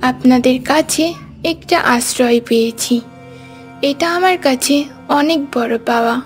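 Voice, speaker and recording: A woman's voice: high voice, takes it slowly. A woman voicing a scheming ghost disguised as a young bride in a cartoon story, with music behind. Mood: meek, forlorn.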